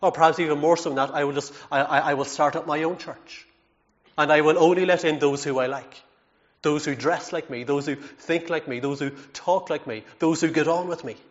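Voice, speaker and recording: Earnest male preacher, slightly muffled recording. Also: fairly high pitch. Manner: earnest, emphatic, with self-deprecating asides and repeated words. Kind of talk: sermon.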